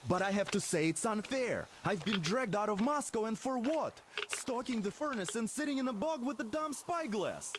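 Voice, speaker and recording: A young game soldier with a high, very animated voice. He is chatty and sardonic, always grumbling. Faint noise sits behind him. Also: moderate pace, hardly any pauses. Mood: wry griping. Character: young restless male soldier, wry and griping. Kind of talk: video game voice acting.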